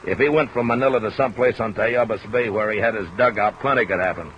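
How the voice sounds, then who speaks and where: A man performing a surly ship's captain in vintage radio drama, fairly low in pitch. He talks bluntly and defensively, with stubborn confidence. The old recording is dull, with rain underneath. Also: fast talker, slight background noise, natural voice. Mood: blunt, bitter. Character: gruff, defensive, tactless male ship's captain. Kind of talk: 1950s radio drama acting.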